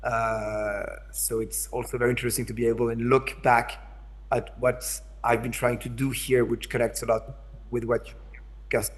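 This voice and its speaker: A man's voice: mid-range voice, little pitch movement, moderate pace. French-accented male speaker on a video call; hesitant, restarts a lot, keeps asking the room if they follow. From France. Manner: hesitant, repeats words, checks in with listeners. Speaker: invited speaker, curator. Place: in São Paulo, remote.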